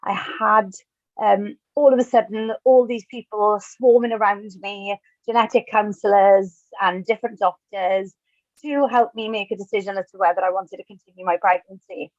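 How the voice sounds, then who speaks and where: A woman's voice: mid-range voice, fairly even intonation, normal speed, no echo, highs cut off. A Welsh woman in her thirties, telling her own story in a chatty, self-deprecating way at an online event, with light asides. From Wales. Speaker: webinar speaker. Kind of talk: personal talk at an online event.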